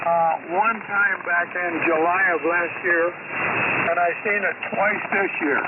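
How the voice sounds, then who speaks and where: A man's voice: high voice, a little slow. An older man chatting casually on amateur radio in a folksy, colloquial way. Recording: very noisy, phone-like radio link.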